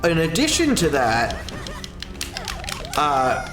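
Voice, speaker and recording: Halting, slow-talking man in an echoey room. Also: a little high, huge pitch swings. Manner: rambling, halting, with drawn-out pauses and self-corrections. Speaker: livestreamer and content creator. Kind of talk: casual livestream chat, answering a viewer's question. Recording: roomy echo with music underneath. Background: music.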